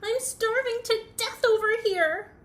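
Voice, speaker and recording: A woman's voice: high-pitched, lively intonation, slow, sharp tone, heavily acted. A woman voicing a cranky, dramatic, hungry young male bird in a whiny, demanding way for a children's storybook. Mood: whining, desperate. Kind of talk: storybook read-aloud for preschoolers.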